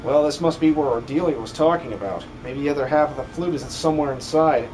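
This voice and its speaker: A man's voice: fairly high pitch, quick pace, a little mellow, a little hiss or hum, lightly acted, rough recording. A man voicing a bold, eager young adventurer with a confident, slightly haughty air in a video game fan dub. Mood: curious, confident.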